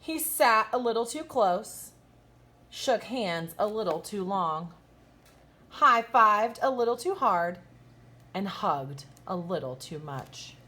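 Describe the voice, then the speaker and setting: Expressive woman teacher reading a storybook aloud. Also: medium pitch. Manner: emphatic, pauses to question young listeners. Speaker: kindergarten teacher reading a picture book aloud. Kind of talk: expressive children's storytime read-aloud with asides.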